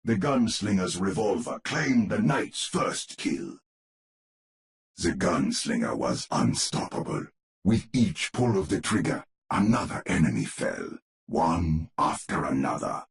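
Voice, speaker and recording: Spooky storybook narrator for a horror game, super deep n slow, grave and dramatic. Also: male voice, big pitch swings, heavily acted. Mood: ominous, dramatic. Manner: theatrical, measured, ominous. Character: grave old-world storyteller narrating a gothic horror tale.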